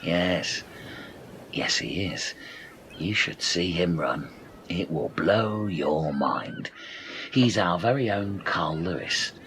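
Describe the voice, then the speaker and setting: Menacing, sidetracked island gang leader for radio comedy male actor, deep and unhurried, theatrical, faint noise behind. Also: lively intonation, fairly bright tone, heavily acted. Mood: proud, chatty. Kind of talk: comedic radio play acting.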